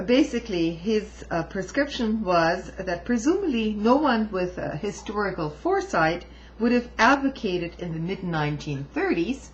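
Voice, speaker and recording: Slow, halting low-voiced woman, lo-fi. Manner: halting, deliberate delivery with emphatic asides. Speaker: amateur book reviewer and commentator. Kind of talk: reading aloud with informal political commentary. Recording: dull lo-fi home recording, faint noise.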